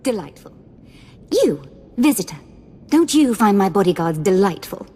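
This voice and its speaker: A woman's voice: medium pitch, big pitch swings, moderate pace, a little mellow, husky, in a large hall. A woman voicing a playful, cruel young female Sith lord in a video game, with a mocking, theatrical, sing-song delivery.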